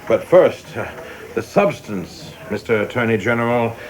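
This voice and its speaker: An actor playing a young, ambitious government investigator in a radio play, coldly precise, formal and deferential but sure of himself. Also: male voice, mid-range voice, lively intonation, normal speed, background noise, natural voice. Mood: crisp, confident. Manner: deferential but self-assured, formal and exact.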